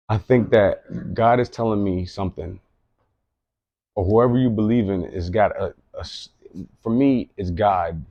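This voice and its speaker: A man's voice: lowish voice, darkish tone, dry recording, muffled recording. A man in his late thirties giving an earnest, rambling personal monologue, often repeating himself. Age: late 30s. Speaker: vlogger.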